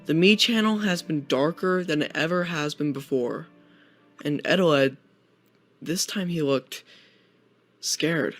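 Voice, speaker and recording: A man's voice: on the high side, measured pace, long pauses, fairly bright tone. A young adult man reading a scripted first-person horror story as its narrator. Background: guitar music.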